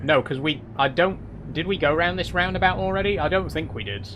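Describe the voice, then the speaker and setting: A man's voice: on the high side, brisk, highs cut off, background noise. Laid-back South Yorkshire man chatting. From Doncaster, South Yorkshire, England. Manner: relaxed, reads chat questions aloud then answers with many "uh" fillers. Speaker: streamer reading chat. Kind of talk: casual live-stream chat while driving a truck sim.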